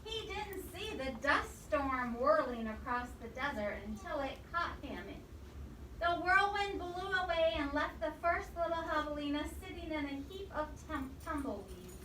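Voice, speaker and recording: A woman reading a storybook aloud to young children like a teacher, expressively, pausing to ask them questions. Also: high voice. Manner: expressive, pausing to quiz the listeners. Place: indoor room with children present. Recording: echoey, distant microphone, noisy room.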